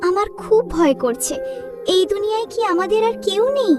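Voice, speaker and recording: A woman plays a helpless little sister in a cartoon tale. Her high voice is fearful and plaintive, with lots of pitch movement. Music plays underneath. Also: lively intonation, moderate pace.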